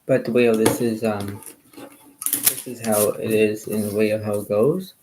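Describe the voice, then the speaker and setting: A soft-spoken man, a little hoarse, hosting a card game and rambling in repeated filler phrases. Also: lowish voice, a little slow, noisy background. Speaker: channel host playing cards. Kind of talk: casual rambling talk to camera.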